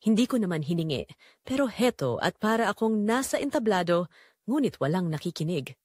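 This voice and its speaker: A woman's voice: mid-range voice, sing-song, brisk, a little mellow, breathy edge, no echo, studio quality. Woman acting wistful Filipina mother, swooping intonation. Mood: sorrowful, confiding. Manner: wistful, emotive, addressing listeners directly. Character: weary, neglected middle-aged Filipina mother, quietly sorrowful. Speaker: narrator and main character of an audio drama. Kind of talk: first-person dramatic confessional storytelling.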